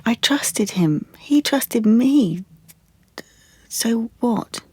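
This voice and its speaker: A young woman in an audio drama, anxious and wounded. She confides in a halting, stumbling way, guarded about herself. The sound is close and dry, with no room around her. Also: a little high, expressive, mellow, breathy edge, no echo, exaggerated voice. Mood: defensive. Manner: halting, confiding, with stammered restarts. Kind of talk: audio drama monologue and dialogue.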